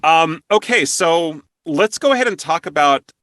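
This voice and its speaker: A man's voice: a little high, expressive, measured pace, a bit bright, no echo, clean studio sound. A man hosting a casual interview, chatting in an effusive, enthusiastic way. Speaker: interview host.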